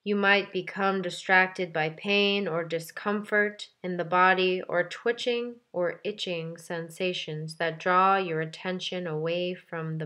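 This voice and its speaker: Slow, low-pitched woman guiding meditation. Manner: calm, measured, gentle, with deliberate pauses. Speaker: meditation guide, yoga teacher. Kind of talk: guided meditation, soft instructional delivery.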